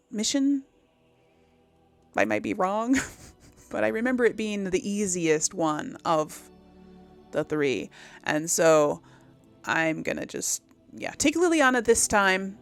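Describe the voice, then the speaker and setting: A woman's voice: mid-range voice, sing-song, takes it slowly, no room sound, faint music behind. A woman giving casual let's play commentary, chatting to viewers and thinking aloud as she goes. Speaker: gaming channel host.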